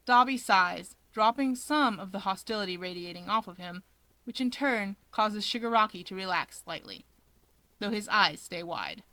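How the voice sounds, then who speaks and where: A woman narrating fan fiction in an expressive audiobook style. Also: medium pitch, moderate pace, dry recording, rough recording.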